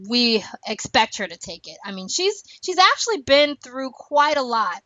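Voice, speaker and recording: A woman hosting a casual podcast chat, talking fast and laughing often between points. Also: high-pitched, no echo. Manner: chatty, laughs often, animated asides. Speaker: podcast host. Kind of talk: casual chat about a TV show. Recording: dull lo-fi, dry.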